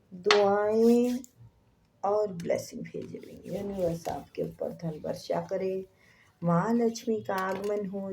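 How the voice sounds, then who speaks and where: A woman gives a spiritual tarot reading with a slightly sore throat. She talks in a slow, unhurried way at a middle pitch and often drops to a whisper when she prays. A faint noise runs under her voice. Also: gravelly. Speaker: tarot reader.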